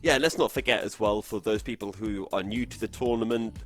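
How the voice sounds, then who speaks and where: A man's voice: on the low side, brisk, soft background music. A man hosting an esports broadcast, presenting the show in an emphatic, upbeat way.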